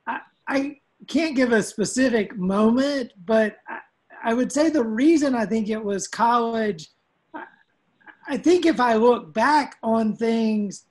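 A woman's voice: fairly high pitch, expressive, a little slow. A woman from rural South Georgia reflecting on personal experience as a participant in a group discussion on a video call.

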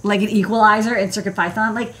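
A woman's voice: mid-range voice, steady tone, fast, fairly bright tone. Woman, American accent, electronics engineer, casual livestream talk, informal, rambling, many asides. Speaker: electronics engineer presenting her work. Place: indoor desk workspace.

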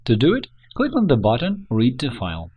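A man narrates a scripted how-to tutorial as its presenter. His pitch moves up and down in a very animated way as he explains each step. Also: fairly quick, slightly rough, narrow, tinny audio.

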